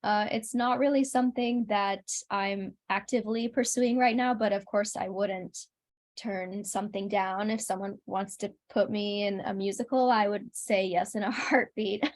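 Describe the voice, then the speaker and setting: A young woman with a medium-pitched voice speaks as an interview guest. Her delivery is casual and conversational, as in an informal chat about her own work.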